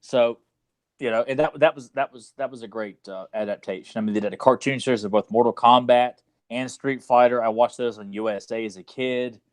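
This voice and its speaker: A man's voice: mid-range voice, fairly even intonation, brisk, dry recording. Blunt man in casual, dryly recorded chat. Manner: blunt, opinionated, mildly profane. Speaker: podcast co-host. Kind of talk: casual chat about video game movies.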